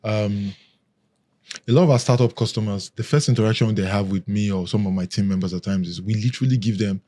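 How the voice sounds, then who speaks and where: A man's voice: lowish voice, quick pace, dark tone. A man, a security expert guest, explaining step by step in a casual podcast chat. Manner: explains step by step, lists questions.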